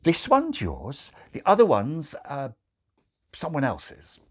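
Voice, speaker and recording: A man voicing a helpful, scatterbrained woman, a nurse nun in a storybook, gushing and hurried in her reassurance. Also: on the high side, huge pitch swings, a bit bright, husky, pitched above the performer's own voice, heavily acted. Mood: hurried, reassuring.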